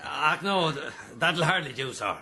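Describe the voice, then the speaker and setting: An actor playing a wry, colloquial Irish stable keeper in a radio drama, deferential on the surface but scornful. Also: male voice, fairly high pitch, lively intonation, moderate pace, creaky, sharp tone, full character voice. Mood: polite, firm. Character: wry, mocking livery stable keeper owed money.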